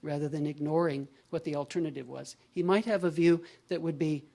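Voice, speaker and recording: A male professor giving a measured, scholarly lecture and answering questions. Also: on the high side, fairly even intonation, vocal fry, dark tone. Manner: measured, scholarly, thinks aloud with restarts.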